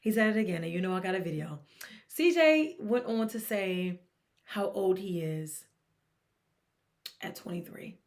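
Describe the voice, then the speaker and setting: A young woman hosting a casual sports commentary vlog, talking in an animated, teasing way and laughing often. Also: mid-range voice, a little slow, long pauses.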